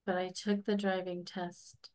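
A woman's voice: fairly low pitch, flat delivery, a little slow, a little mellow, natural voice. A woman in her forties playing an exasperated, persistent licence applicant in a staged comedy reading, with a plaintive manner. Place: indoors, on a video call.

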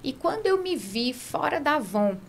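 A woman's voice: high-pitched, very animated, moderate pace, vocal fry. A middle-aged Brazilian woman speaking conversationally as an interview guest, often checking in with short tag questions. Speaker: guest, franchise founder. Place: small curtained podcast studio. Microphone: close podcast mic on boom arm.

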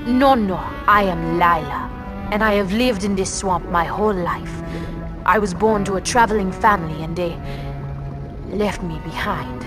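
A woman playing a mysterious, stern and secretive young swamp girl in a cartoon video game, with measured, cryptic, formal phrasing. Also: mid-range voice, lightly acted. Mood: guarded. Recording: background music under dialogue.

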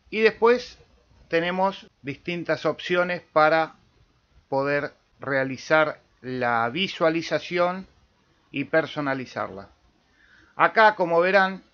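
A man with an Argentine accent giving a casual step-by-step software tutorial as host, with small check-in tags. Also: on the high side. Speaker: amateur radio tutorial host. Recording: dull lo-fi voice-over recording.

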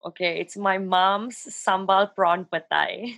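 A woman's voice: mid-range voice, slow pace, vocal fry, fairly bright tone, no room sound. A young adult woman, chatting casually and informally as an interview guest on a video call. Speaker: interview guest, DJ and fashion entrepreneur. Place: home room with shelves, video call.

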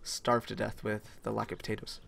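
A man's voice: lowish voice, little pitch movement, a little fast, vocal fry, fairly bright tone. A young man with a Canadian English accent, chatting and teasing his co-player while playing a strategy game. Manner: teasing, chatty, laughs at own jokes. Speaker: gamer playing multiplayer strategy. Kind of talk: casual gameplay chat. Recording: faint game music behind.

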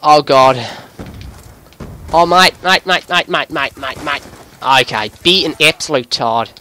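A man putting on an Australian accent as an excitable, sweary bloke giving live video game commentary in a voice pushed higher than usual. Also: unhurried, slight background noise. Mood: sarcastic, frustrated. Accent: put-on Australian. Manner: excitable, sweary, breaking into giggles at himself. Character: excitable Australian bloke gamer, jokey larrikin.

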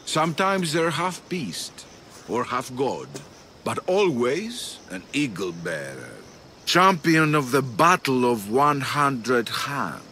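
An actor playing a proud older arena patron in a video game cutscene, speaking in a measured, coaxing way with dramatic pauses. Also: male voice, mid-range voice, big pitch swings, sharp tone, slight background noise, heavily acted. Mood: persuasive.

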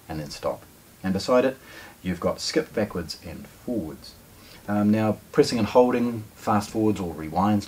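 A man's voice: low-pitched, a little mellow, breathy edge. A man presents a product in a casual, plain-spoken unboxing style, talking to camera indoors. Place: indoors, small showroom with shelves.

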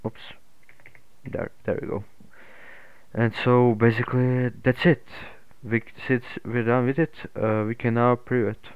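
A man narrating an unscripted software tutorial in a halting, hesitant way. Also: mid-range voice, little pitch movement, clean tone, dry recording. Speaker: tutorial host. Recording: muffled phone-like narrow-band audio.

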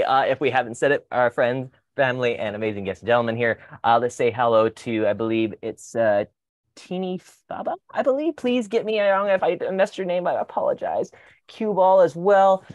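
An excitable man in his thirties hosts a casual chat show on a headset mic at home. He gushes, laughs easily, and his voice sounds dry and close, with no room around it. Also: mid-range voice, no echo. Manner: excitable, gushing, quick to laugh. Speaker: host. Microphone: headset, video call.

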